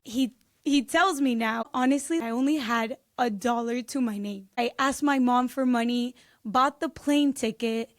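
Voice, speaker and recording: A bubbly woman in her twenties from Peru, chatting as a podcast guest. Her voice sits high, and she tells her family story with quick laughs. The sound is dry and close. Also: dry recording, faint music behind. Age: 20s. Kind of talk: casual interview chat.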